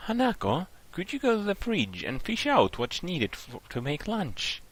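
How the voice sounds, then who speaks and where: Man reading a refined young woman, gentle and measured, pitched well up. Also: wildly swooping pitch, heavily acted. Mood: calm, gentle. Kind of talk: reading visual novel dialogue.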